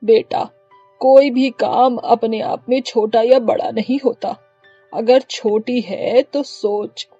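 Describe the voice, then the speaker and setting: Woman playing a husky, motherly market seller. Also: fairly high pitch, fairly even intonation, slow, warm tone, lo-fi sound, soft background music, exaggerated voice. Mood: proud, earnest. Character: hardworking, affectionate mother-in-law coconut-water seller.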